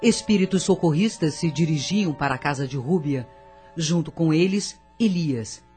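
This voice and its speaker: A woman's voice: low-pitched, animated, moderate pace, dark tone, faint music behind. A woman narrating a scripted radio drama in a solemn, formal storytelling manner.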